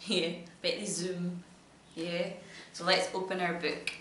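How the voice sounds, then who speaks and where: A woman's voice: on the low side, little pitch movement, takes it slowly, fairly bright tone. A woman with a Scottish accent reading a storybook to a child, encouraging and full of questions. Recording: echoey room, distant mic, noisy background.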